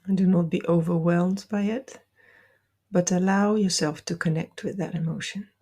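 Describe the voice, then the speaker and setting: A woman leading a guided meditation, giving gentle, deliberate instructions with soft, breathy phrasing. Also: lowish voice, steady tone.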